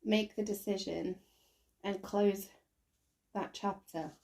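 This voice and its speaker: A woman with a croaky voice doing a casual tarot reading to camera, thinking aloud with many ums and restarts. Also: mid-range voice, steady tone, takes it slowly, dark tone. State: croaky, recovering from bronchitis. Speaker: tarot reader.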